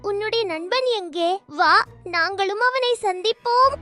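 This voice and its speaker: A woman's voice: very high-pitched, a little slow, sharp tone, faint music behind, exaggerated voice. A woman voicing a pompous boy who poses as a rich landlord in a children's cartoon, grand and demanding. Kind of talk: animated children's cartoon dub.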